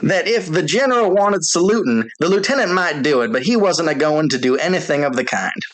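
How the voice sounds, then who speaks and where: A man's voice: on the high side, expressive, fairly quick, a bit bright, voice pushed up. An insolent volunteer soldier, played by a male audiobook reader who drawls in rustic American speech. He sounds lazy and unbothered by rank, with the voice lifted above a normal man's talk. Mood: riled.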